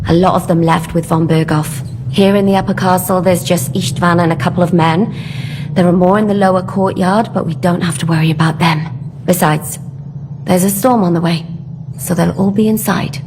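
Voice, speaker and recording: A woman playing a brisk, sardonic medieval conspirator in a video game, speaking in a dry, practical manner. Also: on the low side, fairly even intonation, a little mellow, slight background noise, close to an everyday voice. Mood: practical, urgent. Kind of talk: cinematic video game acting.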